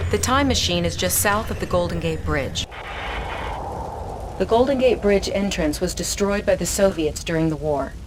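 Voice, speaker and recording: An actress playing a composed military intelligence officer who gives crisp, earnest mission briefings in a video game. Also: female voice, lowish voice, a little hiss or hum, close to an everyday voice.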